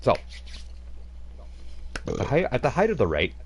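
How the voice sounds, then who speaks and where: A man's voice: mid-range voice, wildly swooping pitch, moderate pace. A man running a tabletop role-playing game over voice chat, narrating loosely with many fillers and restarts. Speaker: game master.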